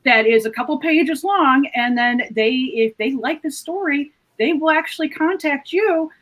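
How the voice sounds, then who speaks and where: A woman's voice: high-pitched, unhurried, fairly bright tone. A woman panelist explaining things in casual conversation. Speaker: podcast panelist.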